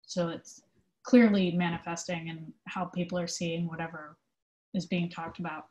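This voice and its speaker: A woman speaking as an interview guest, giving thoughtful, conversational answers in an earnest, halting way with emphasis on key words. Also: on the low side, little pitch movement, mellow, rough recording. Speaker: interview guest, theatre company manager.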